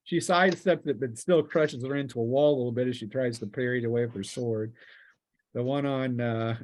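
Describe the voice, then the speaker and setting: A man's voice: mid-range voice, a little fast, darkish tone. A man speaking casually as game master, narrating a tabletop role-playing game with vivid sound-effect words.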